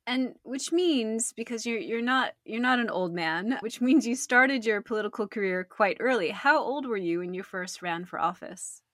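A woman's voice: a little high, normal speed, few pauses, fairly bright tone, dry recording, clean studio sound. A woman hosting an interview, asking friendly, thoughtful questions. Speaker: podcast host. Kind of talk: conversational interviewing.

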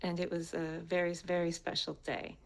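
Woman, American accent, lower-set voice with noticeable fry, conversational personal storytelling. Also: monotone, normal speed. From United States. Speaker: co-host and guest speaker telling her story.

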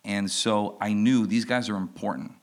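Low-voiced man from Newark. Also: steady tone, moderate pace. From Newark, New Jersey, USA. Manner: reflective, community-minded, easygoing. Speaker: guest entrepreneur on a panel. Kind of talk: panel discussion storytelling.

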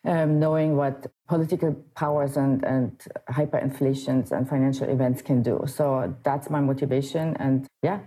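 A woman in her thirties from Germany, answering interview questions in a measured way with frequent pauses. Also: very deep voice, fairly even intonation, dark tone, slightly rough, low-quality recording. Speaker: crypto wallet co-founder, guest.

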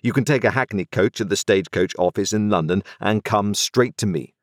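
A man's voice: lowish voice, a little fast, no echo, studio quality, natural voice. A man voicing a stern, curt middle-aged lawyer who gives commands.

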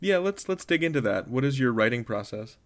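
A man's voice: medium pitch, sing-song, a little fast. A man hosting a podcast, asking questions in a casual interview style.

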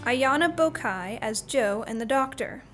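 A woman delivers a scripted announcement. Her pitch is on the high side, with clear instrumental music behind her. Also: animated, unhurried, a bit bright. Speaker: credits announcer.

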